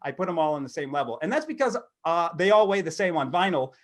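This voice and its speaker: A middle-aged American man co-hosting a podcast, talking in an animated, rambling, self-deprecating way, between comic monologue and casual chat. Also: on the high side, fast talker. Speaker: podcast co-host.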